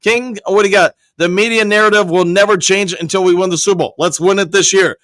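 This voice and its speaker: Guy with a worn-out, almost gone voice, pretty high pitched, reading chat upbeat, dry sound. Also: steady tone, fast, fairly bright tone, no echo. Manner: upbeat, reads chat comments aloud and answers them. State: voice nearly worn out. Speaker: host reading viewer comments. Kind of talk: casual live-stream chat.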